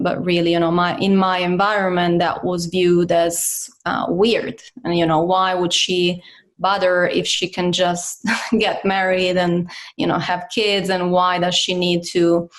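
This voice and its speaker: A woman of origin who grew up in Italy, speaking as a company head in a casual interview, with many fillers and restarts. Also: fairly low pitch. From Croatian, grew up in Italy. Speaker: guest, company CEO.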